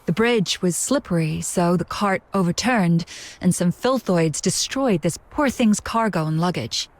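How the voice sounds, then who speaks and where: A woman voicing a shrewd, composed female tavern keeper in a video game, smooth-talking and self-assured. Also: on the low side, lively intonation, a little slow. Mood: pleading, persuasive.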